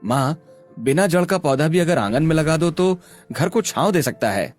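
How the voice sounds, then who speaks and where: A man playing an earnest, higher-voiced young lover. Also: lively intonation, moderate pace, faint music behind. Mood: pleading. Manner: sincere, reassuring. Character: earnest, devoted young male tea-seller in love. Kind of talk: animated story dialogue.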